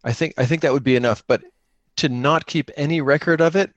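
A man's voice: fairly high pitch, brisk, no room sound, highs cut off. A man in casual conversation, speaking earnestly and encouragingly with somewhat hesitant phrasing. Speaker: podcaster and guest. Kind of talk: casual chat about gaming.

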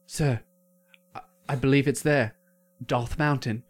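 A man's voice: mid-range voice, steady tone, measured pace, lightly acted. A man playing a tipsy, loyal squire who speaks in flowery, old-fashioned phrases with hesitant fillers. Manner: flowery mock-olde speech with hesitant fillers. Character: tipsy, loyal young male squire with archaic speech. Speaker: improv comedian.

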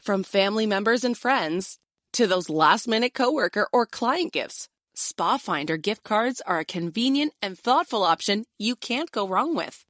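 A woman's voice: mid-range voice, lively intonation, normal speed, fairly bright tone, dry recording, studio quality. An American woman giving a scripted advertisement read as an announcer.

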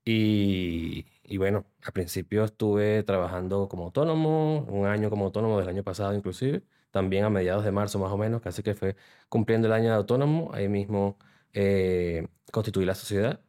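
Venezuelan guy in his 30s, kinda low voice, talks through his work step by step on a podcast. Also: fairly quick, dark tone. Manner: explains at length, methodical. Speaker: guest, software developer. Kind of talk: conversational podcast interview. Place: podcast studio.

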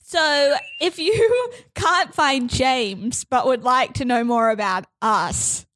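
A woman's voice: high voice, takes it slowly, background noise. A woman hosting a podcast in a giggly, teasing way. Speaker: podcast host. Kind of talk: casual podcast chat.

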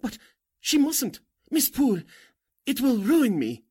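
An actor playing a crooked cult leader and fake medium in a 1940s-style radio drama, formal and theatrical in manner. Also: male voice, very high-pitched, big pitch swings, slow pace, darkish tone, husky, exaggerated voice. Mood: urgent, pleading. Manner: formal, theatrical, commanding or pleading.

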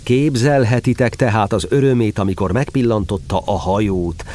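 A man narrating a scripted children's story in an expressive storytelling manner. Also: fairly low pitch.

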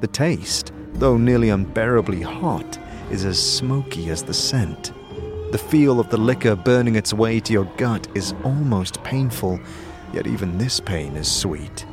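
A man's voice: lowish voice, animated, measured pace. A man giving scripted second-person narration for a fantasy audio drama. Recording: music bed behind the voice.

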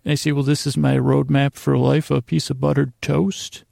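A man hosting a soothing bedtime podcast in a lulling, meandering monologue, halting with odd pauses and repeated words. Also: mid-range voice, flat delivery, dark tone, no room sound, studio quality. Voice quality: lulling, creaky drone. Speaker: podcast host.

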